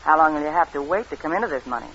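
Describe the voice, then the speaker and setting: A woman's voice: low-pitched, animated, racing, a bit bright, a little hiss or hum. An actress playing a skeptical, wry, sultry woman accomplice in a 1940s radio crime drama. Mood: wary, doubtful. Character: wary, sultry female accomplice of a con man. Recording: dull old radio recording.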